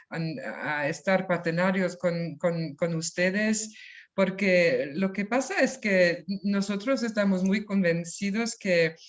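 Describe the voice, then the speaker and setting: A woman speaking Spanish as a non-native speaker, heading an EU culture program, giving halting webinar remarks full of restarts and fillers over a video call. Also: lowish voice. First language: not Spanish. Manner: hesitant, many restarts and fillers. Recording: dull lo-fi video call audio.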